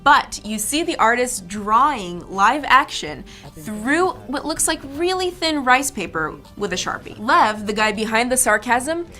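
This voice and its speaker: A woman's voice: a little high, expressive, a little slow, bright, forceful tone, faint music behind. A woman hosting an online video show, presenting scripted commentary with wry, playful asides. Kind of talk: scripted, jokey presenting about animation videos.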